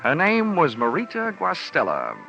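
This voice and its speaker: An actor playing a sardonic, hardboiled American insurance investigator in an old-time radio noir, narrating and wisecracking. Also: male voice, fairly high pitch, huge pitch swings, normal speed, gravelly, pushed and bright, faint music behind, heavily acted. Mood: wry narrating. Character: wry, hardboiled male insurance investigator narrating his case. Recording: dull old radio recording.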